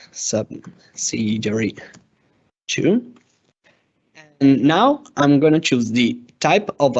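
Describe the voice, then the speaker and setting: A man narrating a step-by-step software demo as a tutorial presenter, with a hesitant, halting delivery. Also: mid-range voice. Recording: dull, lo-fi microphone sound.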